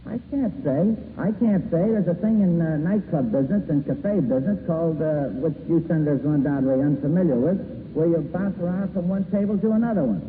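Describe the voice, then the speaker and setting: A man's voice: on the high side, brisk, few pauses. A man testifying as a witness, plain-spoken and a little defensive, on an old 1940s hearing recording. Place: large echoing hearing room. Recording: echoey, tinny, noisy 1940s hearing recording.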